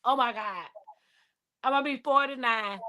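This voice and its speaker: Bright-voiced woman in her forties. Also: fairly high pitch, normal speed. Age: 40s. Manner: playful, laughing, Southern-style slang. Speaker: livestream host. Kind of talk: casual livestream chat.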